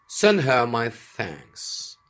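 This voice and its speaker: Man playing a composed, unhurried royal impostor. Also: medium pitch, wildly swooping pitch, a bit bright, faint music behind, voice pushed up, exaggerated voice. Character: composed, resolute gentleman posing as king.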